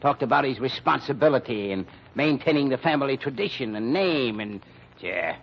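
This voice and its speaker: An actor playing a dour, pessimistic company treasurer who grumbles through an American old-time radio mystery. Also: male voice, mid-range voice, animated, moderate pace, creaky, a little hiss or hum. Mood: bitter. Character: sour, gloomy, grumbling male company treasurer. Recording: dull vintage radio recording.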